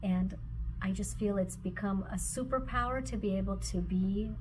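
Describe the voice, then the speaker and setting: Measured, reflective woman author. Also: mid-range voice, a little mellow, slight background noise, rough recording. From former Soviet Union. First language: Russian. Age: adult with children. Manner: measured, phrase by phrase with pauses. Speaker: author and speaker. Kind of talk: reflective personal talk.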